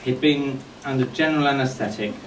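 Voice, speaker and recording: Middle-aged male tribute speaker, mid-pitched, wry storyteller at a memorial, recorded at a distance in an echoey room. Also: brisk. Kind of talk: eulogy speech with humorous anecdotes. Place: indoor function venue at a golf club, before an audience. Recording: echoey room, distant mic, faint noise.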